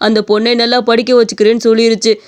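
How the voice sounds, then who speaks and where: Casting: man as righteous cartoon village chief, very high clear voice, lecturing. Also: monotone, racing. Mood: stern, persuasive. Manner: lecturing, emphatic. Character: authoritative male village headman, righteous and moralising. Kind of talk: cartoon story dialogue.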